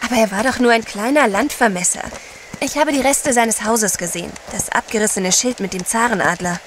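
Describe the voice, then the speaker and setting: A woman's voice: fairly high pitch, a little fast, slight background noise, close to an everyday voice. An actress playing a spirited, affectionate lady adventurer in an audio drama. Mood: concerned. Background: dramatic music.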